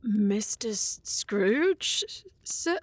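A woman playing an earnest, kindly Victorian gentleman in a theatrical audio drama style. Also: mid-range voice, big pitch swings, extremely slow, exaggerated voice. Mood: timid, grateful.

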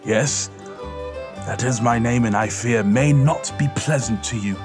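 An actor playing a giddy, generous old reformed miser in a theatrical, effusive audio drama style. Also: male voice, medium pitch, a little slow, over music, natural voice. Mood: joyful, effusive.

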